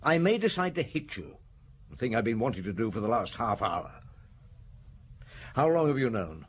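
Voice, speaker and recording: A man's voice: medium pitch, sing-song, moderate pace. A man playing a stern, relentless chief inspector in an audio drama, blunt and commanding as he accuses. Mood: blunt, accusing. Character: stern, relentless male police chief inspector, menacing and sure. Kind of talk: audio drama acting, interrogation and narration. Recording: clearly noisy drama recording.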